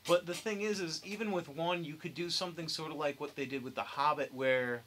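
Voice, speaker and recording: A man's voice: fairly high pitch, brisk, fairly bright tone, a little hiss or hum. A young man hosting a casual podcast discussion, with a wry, joking way of talking. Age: young adult. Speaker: podcast host.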